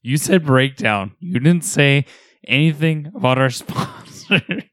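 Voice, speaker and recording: A man co-hosting a podcast, teasing, his voice slightly raspy and cracking. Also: a little high, slow, fairly bright tone, no room sound, studio quality. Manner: teasing, wisecracking. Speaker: podcast co-host. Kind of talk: casual podcast banter.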